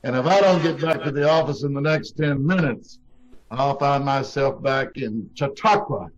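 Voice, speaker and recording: A man's voice: medium pitch, normal speed, natural voice. A man playing a pompous, hammy announcer who declaims grandly like a Shakespearean actor in old-time radio comedy. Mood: brisk, grand. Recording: dull online call recording.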